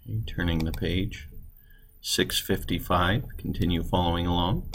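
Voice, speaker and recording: Male teacher guiding a read-along, very low voice with heavy fry, casual. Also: fairly even intonation, normal speed, a bit bright, slight background noise.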